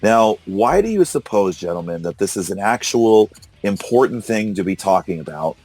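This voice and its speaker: Hoarse man, low voice, dry sound, podcast host, argumentative. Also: sing-song, normal speed, a little mellow, no echo, soft background music. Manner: argumentative, incredulous, laughs often. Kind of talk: casual political discussion. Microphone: call recorder.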